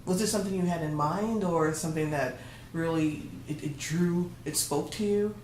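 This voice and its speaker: Woman interviewer, lowish voice, echoey room. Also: moderate pace, darkish tone, slight background noise. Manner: prompting, finishes the guest's sentences. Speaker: interviewer, host. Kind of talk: conversational interview. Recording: echoey room, faint ambience.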